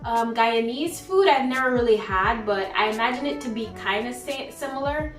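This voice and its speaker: A woman's voice: a little high, moderate pace, a bit bright, echoey room, far from the mic, soft background music. A young woman from Jamaica chatting casually about her school years while cooking in her home kitchen, rambling and laughing often. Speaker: vlogger being interviewed.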